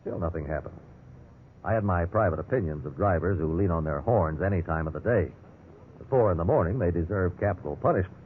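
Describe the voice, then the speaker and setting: A man's voice: low-pitched, brisk, phone-quality sound, natural voice. An actor playing a respectable, wrongly accused businessman telling his story, earnest and conversational, in 1950s radio drama style. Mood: wry, measured narration. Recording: thin band-limited 1950s radio recording, hiss.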